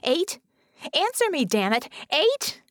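A woman's voice: sits high, sing-song, takes it slowly, a bit bright, no room sound, clean studio sound, pitched above the performer's own voice, full character voice. Woman acting a snarling, swooping woman leader. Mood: furious. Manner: snapping, snarling, demanding. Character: fierce, foul-tempered woman team leader.

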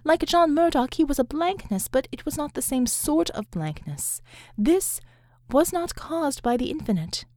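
A woman reading dramatic fiction as an audiobook narrator, in a measured, deliberate way. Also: sits high, animated, a little mellow, dry recording, studio quality. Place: close, dry recording space.

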